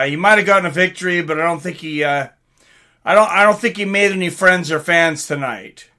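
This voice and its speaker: Male fight-stream host, middle-aged, slightly high pitch, very bright forceful tone, casual rambling chat with viewers. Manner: rambling, reading out and answering chat comments. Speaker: livestream host and fight commentator.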